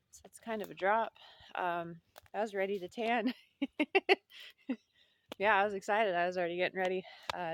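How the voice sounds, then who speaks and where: A woman's voice: medium pitch, slight background noise. A woman chatting casually to her camera at home, rambling with fillers and repeating herself as she talks. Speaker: vlogger.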